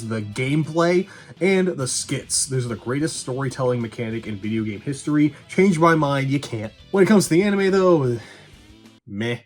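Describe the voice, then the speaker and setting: Blunt, sweary man over background music. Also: medium pitch. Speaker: video essay host and reviewer. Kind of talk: casual movie review commentary. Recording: music behind the voice.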